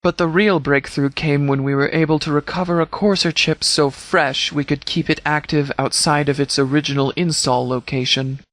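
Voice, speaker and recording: A stern lawman addresses his forces in a formal, commanding manner. His voice sits slightly high for a man, and the sound is very dry and close. It is scripted video game dialogue, delivered as a measured military briefing. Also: fairly even intonation, fairly bright tone, no room sound. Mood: matter-of-fact, authoritative. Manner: authoritative, formal, measured wording. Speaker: sheriff, leader.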